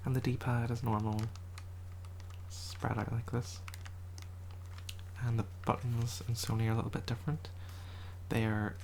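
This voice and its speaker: An ASMR creator in a quiet house, whispering a soft, rambling show and tell and often correcting themselves, voice a little rough from a cold. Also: medium pitch, level tone, measured pace, pauses often, a little hiss or hum. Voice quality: whispered, soft-spoken delivery. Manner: rambling, self-correcting, chatty.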